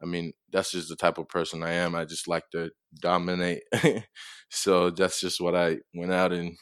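A man's voice: sits low, little pitch movement, normal speed, clean tone, no room sound, clean studio sound. A young man from Tonga giving casual, somewhat hesitant interview answers as a college football player. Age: young adult.

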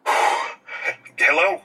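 An actor playing a rattled, evasive man with a husky, breathy voice in naturalistic audio drama dialogue. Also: drawn-out words, distant mic, noisy background. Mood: shaken, evasive.

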